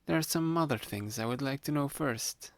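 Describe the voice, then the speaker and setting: Sly young male roommate, acted by a man: playful, manipulative hypnotist for ASMR roleplay, creaky voice, close dry mic, unhurried delivery. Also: medium pitch, dry recording, lightly acted. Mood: casual, explanatory. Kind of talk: ASMR roleplay, addressed to the listener. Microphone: close studio-style microphone, dry.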